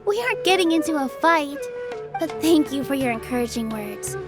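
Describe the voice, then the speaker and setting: A woman voicing an earnest, gentle young theater dancer in a video game, polite and encouraging. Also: sits high, animated, a little fast. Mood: polite, determined. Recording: music behind the voice. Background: background music.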